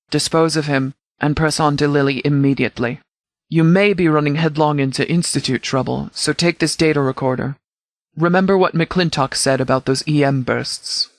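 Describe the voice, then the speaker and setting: Man playing a video game sheriff, stern, commanding, clipped formal speech, slightly high voice, dry sound. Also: dry recording, natural voice. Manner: clipped, formal, authoritative delivery. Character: commanding male sheriff of a post-apocalyptic settlement, stern and formal.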